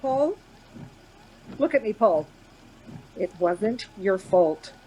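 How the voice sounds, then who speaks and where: A woman's voice: animated, extremely slow, dark tone, low-quality recording. An actress playing an anxious, coaxing woman traveller in a radio play, urgent and reassuring by turns. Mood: firm, reassuring. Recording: background noise with vehicle rumble.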